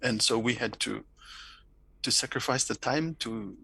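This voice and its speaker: Gravelly Fijian man, reflective interview guest. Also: mid-range voice, unhurried, bright, forceful tone, no room sound. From Fiji. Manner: thoughtful, many restarts and repeated words.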